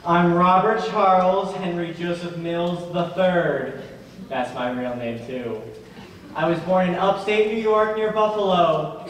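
A man plays a droll young dancer at a stage audition, deadpan. His high voice echoes in a large hall, distant from the mic. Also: unhurried. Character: droll young male dancer, age twenty-five. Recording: echoey theatre, distant, faint noise.

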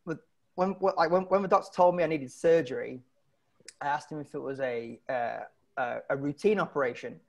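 A British man in his thirties doing a halting, self-deprecating stand-up comedy set over a video call from home, on earphone mic. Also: fairly high pitch, vocal fry. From Britain. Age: 30s. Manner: halting, self-deprecating, often chuckling at his own lines. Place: indoor room at home. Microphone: wired earphones on a video call.

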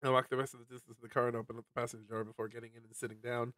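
A streamer reading a visual novel aloud as a soft-hearted, hesitant young man telling his story wistfully. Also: medium pitch, little pitch movement, very fast, no echo, distant mic, pitched below the performer's own voice, lightly acted. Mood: subdued, wistful. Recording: music under the voice.

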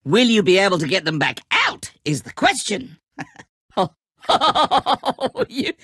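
A man's voice: sits high, huge pitch swings, slow, sharp tone, husky, no room sound, clean studio sound, full character voice. An actor playing a giddy, taunting, all-powerful snowman trickster in a video game, with a gleeful, mocking, hammy delivery. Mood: playful taunting.

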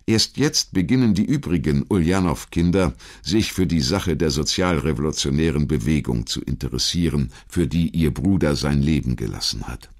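A man reading a scripted historical narration in a measured, articulate storytelling manner. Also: a bass voice, expressive. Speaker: documentary narrator.

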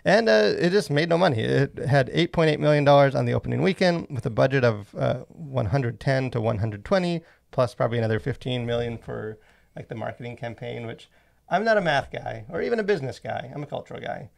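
Creaky-voiced man, podcast host, animated, full of asides, close studio mic. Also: medium pitch, fairly quick. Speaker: podcast host and movie reviewer. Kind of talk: casual podcast chat. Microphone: close boom-arm studio mic.

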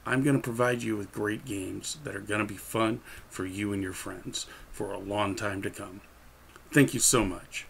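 Creaky-voiced middle-aged man making a pitch. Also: fairly even intonation, normal speed, fairly bright tone, a little hiss or hum. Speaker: game designer pitching a crowdfunding project. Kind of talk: direct-to-camera promotional pitch. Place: small room at home, indoors.

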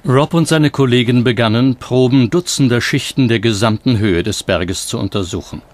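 A man's voice: mid-range voice, normal speed. A man delivering scripted narration for a documentary.

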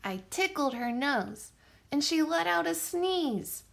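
A woman reads a children's story aloud in a high voice. Her delivery is unhurried and deliberate, with big swoops in pitch. She phrases it clearly, as a teacher does for young listeners. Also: expressive. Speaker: teacher reading a picture book aloud.

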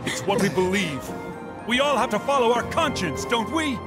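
Game role: idealistic middle manager, high, lively delivery, formal and affronted, loud score behind. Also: male voice, normal speed, loud music behind the voice. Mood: indignant, earnest. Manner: earnest, formal, declamatory. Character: prim, indignant, idealistic male corporate middle manager. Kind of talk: video game voice acting.

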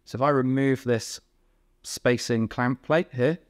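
A man in his thirties giving an informal technical explanation, haltingly rephrasing as he goes. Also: mid-range voice, steady tone. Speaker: technical director explaining a product. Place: indoor company workroom.

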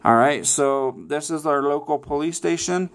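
A man's voice: on the high side, normal speed. A man presenting a casual step-by-step how-to, often checking in with okay and all right. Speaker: tutorial presenter.

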